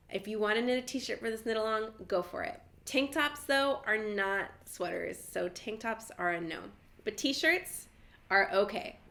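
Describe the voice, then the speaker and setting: A woman's voice: medium pitch, fairly bright tone. Chatty, slightly nasal woman. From Southern California. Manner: friendly and chatty, frequent "like" fillers and light laughs. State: stuffy nose from a cold. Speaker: knitting vlogger, host. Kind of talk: casual chatty vlog.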